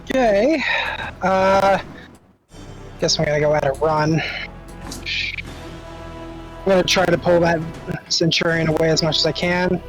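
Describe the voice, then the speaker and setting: A man with a fairly high voice plays a tabletop game, talking casually and slowly. Faint music sits behind him. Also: rough recording.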